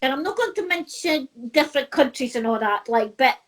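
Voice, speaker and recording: A woman's voice: high voice, lively intonation, brisk. A middle-aged woman, talking in a casual chat, chatty and laughing often, repeating herself. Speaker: co-host.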